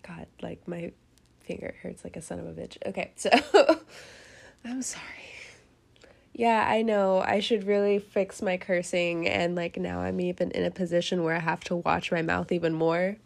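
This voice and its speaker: A woman's voice: lowish voice, fairly even intonation, normal speed, vocal fry. A young woman with a head cold hosting a casual tarot reading, chatty and rambling, laughing often and cursing now and then. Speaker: tarot reader host.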